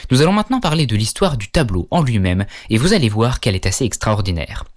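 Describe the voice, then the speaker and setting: A man's voice: mid-range voice, very animated, fast talker. A man narrating a scripted art-history lesson, speaking directly to viewers with questions and wry asides. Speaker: educational video narrator.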